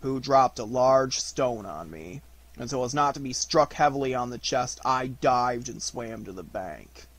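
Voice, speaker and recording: A man voicing a gloomy, aggrieved old donkey in a storybook, gruff, slow and deadpan. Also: medium pitch, no echo. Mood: aggrieved, sardonic. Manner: slow, drawn-out, deadpan grumbling.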